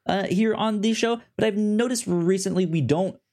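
A man co-hosting a casual review podcast, talking informally and haltingly, often stuttering and repeating syllables. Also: high voice, darkish tone. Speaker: podcast co-host. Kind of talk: casual review show chat.

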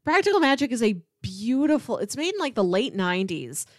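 A woman's voice: fairly high pitch, very animated, brisk, no echo, clean studio sound. A woman co-hosting a podcast, chatting casually about films in a conversational, unscripted way. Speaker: podcast co-host reviewing films. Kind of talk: casual conversational film chat.

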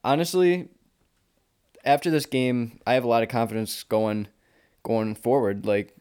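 A man's voice: mid-range voice, measured pace, darkish tone, clean tone. An American man co-hosting a sports podcast, talking casually and informally like a confident fan. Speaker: podcast co-host.

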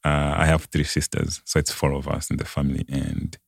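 Very deep-voiced Malawian man. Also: monotone, brisk, gravelly, slightly rough, no room sound, clean studio sound. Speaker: podcast guest. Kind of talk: conversational personal storytelling.

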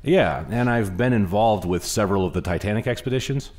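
An actor playing an eager, awkward historian in an audio drama, polite and hesitant. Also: male voice, fairly low pitch, fairly quick, a little mellow, natural voice. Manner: hesitant, apologetic.

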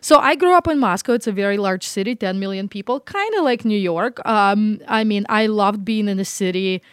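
A woman's voice: medium pitch, moderate pace, clean tone, no echo, studio quality. A middle-aged woman from Russia, chatting in an interview and acting out quoted reactions as she tells stories. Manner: animated storytelling, acts out quoted reactions. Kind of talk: casual interview chat.

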